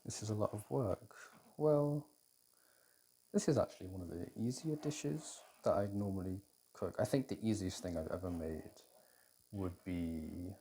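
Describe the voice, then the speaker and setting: Low-voiced British man, casual cooking ASMR. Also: dark tone, slight background noise. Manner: hesitant, unhurried, thinking aloud. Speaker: audio roleplay performer playing a boyfriend cooking. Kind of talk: intimate ASMR roleplay, casual chat while cooking. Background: kitchen food preparation sounds.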